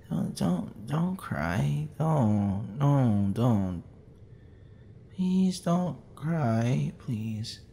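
A man's voice: medium pitch, darkish tone, slight background noise, exaggerated voice. A man playing a drunk, flustered young man with a tender streak, stammering and rambling in an intimate ASMR roleplay. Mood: concerned, soothing. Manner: stammering, halting, tipsy rambling with breathy sighs.

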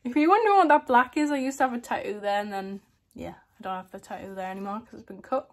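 A woman's voice: mid-range voice, fast. A young woman with a slight lisp, chatting casually to camera in a small room, giggling now and then. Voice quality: slight lisp from a lip plate. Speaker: vlogger.

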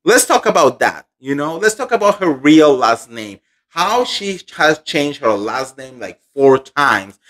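Man, thirties, gossip vlog host, animated, indignant, emphatic, home studio mic. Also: a little high, unhurried. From Colombia. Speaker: pop culture gossip host. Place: home room indoors. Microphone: studio microphone on boom arm.

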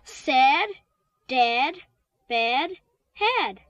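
A woman's voice: sits high, animated, fairly bright tone, no room sound. A woman narrating a children's read-along book, word by word and with exaggerated care, on a dull old cassette. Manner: exaggerated, deliberate word-by-word delivery. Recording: dull, noisy 1980s cassette transfer.

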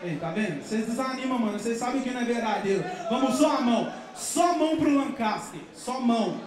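A man with a very high voice runs an open-air rap battle, shouting slang-filled calls to a packed crowd. He is heard through an echoing PA from a distance, with a roaring audience and heavy noise around him. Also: animated, a little fast. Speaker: battle host. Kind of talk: hyping and counting crowd votes. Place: outdoor stage under an overpass, big crowd.

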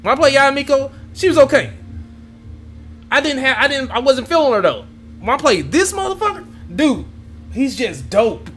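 A young man reacting to gameplay from a home room, excitable and swearing freely as he talks to his viewers. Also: extremely high pitch, moderate pace, faint music behind. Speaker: gaming YouTuber. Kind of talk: casual gameplay reaction commentary. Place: home room with gaming chair.

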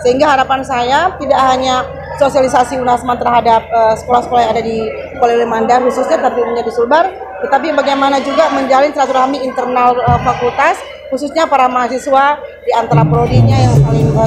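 A woman's voice: sits high, fairly even intonation, fast talker. A middle-aged woman, a university rector, giving a formal statement with frequent hesitations. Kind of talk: official interview statement. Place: event hall. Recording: loud music and crowd behind.

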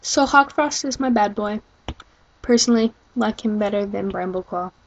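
A woman's voice: mid-range voice, normal speed, dull audio, slight background noise. A young woman introducing amateur voice auditions in a casual, self-deprecating, rambling way.

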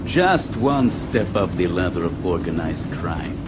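A suave, smugly friendly gangster in a noir game. He talks slowly and theatrically with a lively, swooping melody, over heavy background noise. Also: low-pitched. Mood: playful. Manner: theatrical, smooth, playful. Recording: heavy noise and ambience behind.

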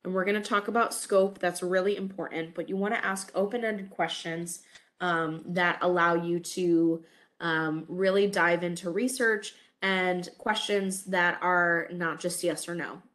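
A woman's voice: fairly low pitch, fairly even intonation, unhurried, a bit bright. Stuffy-nosed woman teaching over webcam. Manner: friendly, many "um" fillers. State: stuffy from a cold. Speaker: college English instructor. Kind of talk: recorded online lecture. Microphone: webcam over slides.

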